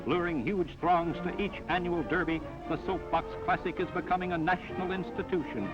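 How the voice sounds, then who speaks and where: A man's voice: high voice, a little fast. An American man narrating a 1940s newsreel in a punchy, dramatic, slangy showman style, reading from a script. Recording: dull vintage 1940s newsreel soundtrack, loud music. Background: loud music.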